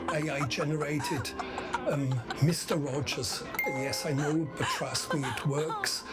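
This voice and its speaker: Low, slow woman announcing a radio play. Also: fairly even intonation. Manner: clipped, portentous announcements. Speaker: narrator. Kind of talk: dramatic scripted radio play narration. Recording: loud music behind the voice.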